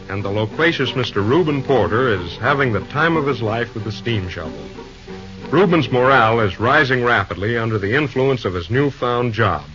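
A man with an American accent reading scripted narration as the announcer of an old-time radio serial. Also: medium pitch. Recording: dull old radio recording. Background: music.

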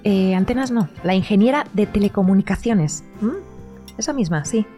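A woman's voice: mid-range voice, normal speed, mellow, faint music behind, close to an everyday voice. An actress playing a bossy, teasing, health-minded young woman in conversational audio comedy. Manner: conversational, correcting, assertive. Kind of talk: Spanish-language audio fiction comedy acting.

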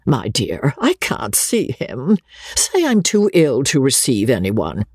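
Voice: medium pitch, wildly swooping pitch, takes it slowly, slightly rough, no echo, full character voice. A woman voicing an imperious, self-centred older spinster with a languid, haughty manner, in an audiobook. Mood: languid, dismissive.

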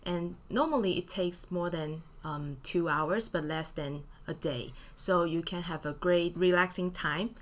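Low-voiced woman. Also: measured pace, hardly any pauses. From Taiwan. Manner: friendly, casual, uses fillers and non-native grammar. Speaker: guest. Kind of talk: studio interview answers. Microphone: studio mic.

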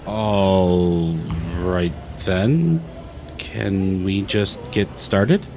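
An actor playing a mild, earnest young priest in a comedic audio drama, polite and hesitant, trying to sound cool. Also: male voice, sits low, extremely slow, a little mellow, slight background noise, exaggerated voice. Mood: resigned. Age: young adult.

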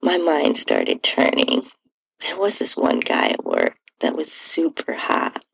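A woman with a breathy, husky, creaky voice reading a first-person story in an intimate, sultry, drawn-out narration style. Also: low-pitched, huge pitch swings, fairly bright tone, no room sound, rough recording. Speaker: podcast host and narrator.

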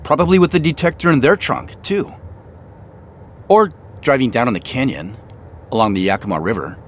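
An actor playing an excited younger brother on a treasure hunt, in naturalistic audio drama dialogue. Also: male voice, medium pitch, moderate pace, lightly acted. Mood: musing, eager. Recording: faint vehicle noise behind. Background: car driving.

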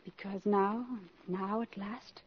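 An actress playing a proud, worldly, impoverished countess in a 1950s radio drama, with a haughty, dry, imperious manner. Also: female voice, medium pitch, steady tone, takes it slowly, a little hiss or hum, natural voice. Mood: proud, cool. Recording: muffled vintage 1950s radio recording.

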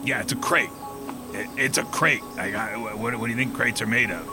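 A man's voice: on the low side, normal speed, lightly acted. A man playing a laid-back, clueless slacker dude in a comedic audio drama, rambling and casual. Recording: music behind the voice.

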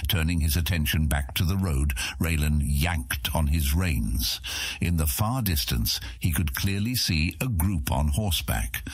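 A man reading fantasy fiction as a dramatic audiobook narrator with a husky voice. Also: rumbling low, no echo, studio quality.

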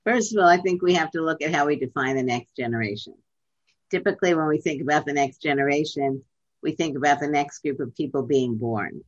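Woman advocate talking slow and deliberate, lots of ums, dry muffled sound. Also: deep voice, dry recording. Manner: measured, deliberate, with frequent pauses and fillers. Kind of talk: remote interview answers.

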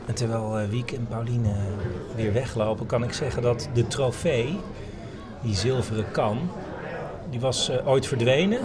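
Low-voiced man reporting casually from a match. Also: normal speed. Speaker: podcast host. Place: mostly outdoors at a small football ground. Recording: outdoor football crowd, music behind.

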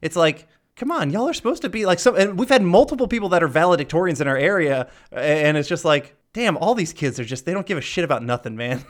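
A man's voice: on the high side, animated, fast, hardly any pauses. Laughing, rambling male podcast host. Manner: rambling and laughing, sometimes swearing; retells scenes with playful quoted lines. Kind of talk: casual chat.

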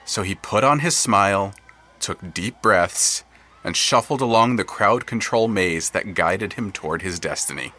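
A man narrating literary audio fiction in a wry, precise, deliberate manner. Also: fairly low pitch, lively intonation, sharp tone.

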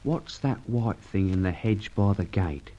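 Blunt, animated sidekick. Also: male voice, lowish voice, a little slow. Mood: wary, rattled. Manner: blunt, slangy. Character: plain-spoken, grumbling working-class male sidekick. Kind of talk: old radio serial acting. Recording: muffled old radio serial recording.

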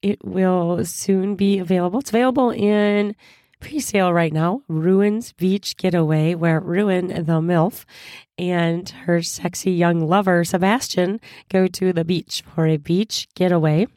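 Hoarse woman host, cheerful and chatty, lower voice, dry close recording. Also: steady tone, a little slow, dark tone, no echo. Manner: chatty, upbeat, laughs often. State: hoarse from a cold. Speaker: podcast host. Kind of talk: casual solo podcast chat.

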